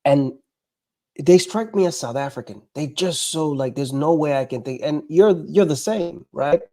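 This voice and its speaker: Hesitant man with a mellow voice. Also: fairly high pitch. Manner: halting, hesitant, stacks filler words. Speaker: guest. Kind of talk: casual live discussion.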